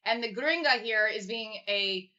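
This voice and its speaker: Woman, livestream host, bright sharp voice, rambling and self-deprecating, muffled lo-fi stream sound. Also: a little high, a little slow. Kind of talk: casual livestream interview. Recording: dull lo-fi stream audio.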